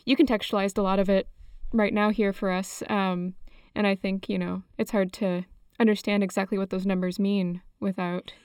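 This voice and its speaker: A woman's voice: medium pitch, flat delivery, normal speed, a little mellow, no room sound, studio quality. A woman co-hosting a conversational podcast, speaking reflectively and phrasing her points tentatively. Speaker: podcast co-host.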